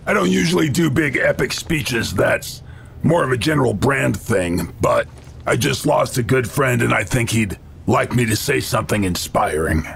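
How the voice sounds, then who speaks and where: Gravelly, cocky American action hero. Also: male voice, mid-range voice, moderate pace, a little hiss or hum. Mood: rallying, dry. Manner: deadpan, swaggering quips. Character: cocky, wisecracking American action-hero soldier, adult man. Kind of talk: video game cutscene acting.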